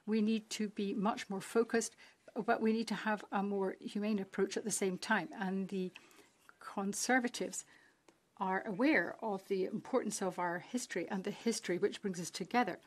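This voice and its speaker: A woman simultaneously interpreting a parliamentary speech, with a steady, measured delivery and occasional restarts. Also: medium pitch.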